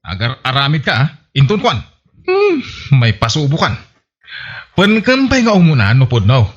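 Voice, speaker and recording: A man's voice: on the high side, wildly swooping pitch, moderate pace. A man playing an exasperated village husband who scolds his wife in a comedy radio drama, on muffled audio. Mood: suspicious, nagging.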